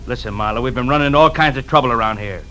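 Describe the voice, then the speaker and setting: Actor as a hard, forceful American tough guy. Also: medium pitch, racing, lightly acted. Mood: menacing. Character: surly, threatening warehouse foreman, tough guy. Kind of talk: old-time radio crime drama acting. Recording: noisy vintage radio recording.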